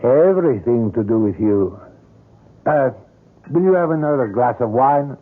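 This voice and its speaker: A man's voice: mid-range voice, lively intonation, normal speed, natural voice. Man acting an obsessive, courteous sculptor, muffled radio. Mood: intense, insinuating. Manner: formal, florid, precise diction. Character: older, courteous, obsessive male sculptor and portrait artist. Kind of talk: old-time radio drama acting. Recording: muffled vintage radio drama recording.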